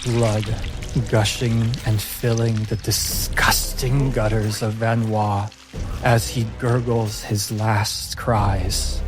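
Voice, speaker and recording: A man working as game master, narrating a tabletop game in a solemn, deliberate, dramatic way with pauses. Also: fairly low pitch.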